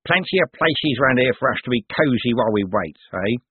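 A man's voice: mid-range voice, animated, fairly quick, husky, dry recording. A man voicing a menacing, crude crook in an audiobook, spluttering with rage and taunting in British street slang. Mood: bitter rage. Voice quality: spluttering, champing. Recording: thin, phone-like band-limited sound.

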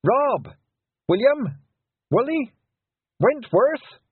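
An earnest, bossy young girl, acted by a man in a storybook reading. The voice is lifted high and swings widely with anxious determination, slightly rough, on a narrow phone-like recording. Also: huge pitch swings, brisk, no echo, full character voice. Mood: desperate shouting. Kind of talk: audiobook character acting.

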